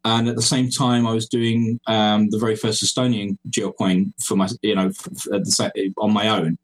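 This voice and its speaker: A man's voice: fairly low pitch, little pitch movement, normal speed. A man in his forties with an English accent, a podcast guest chatting casually and hesitantly, with many fillers and restarts. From England, raised in Cyprus. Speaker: podcast guest, geocoin designer. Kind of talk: casual chat over a call.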